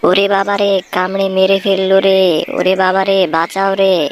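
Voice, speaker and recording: A woman's voice: medium pitch, little pitch movement, a little slow, slight background noise, natural voice. A woman voicing a sly, foolish and greedy fox in a cartoon folk tale, exclaiming and talking to itself. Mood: panicked.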